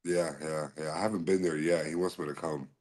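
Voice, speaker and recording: A man from Massachusetts answering interview questions in a polite, easygoing way, often saying "yes sir" and pausing on fillers. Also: a bass voice, fairly even intonation, a little mellow. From Massachusetts, USA. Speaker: podcast guest, slap fighter and bodyguard.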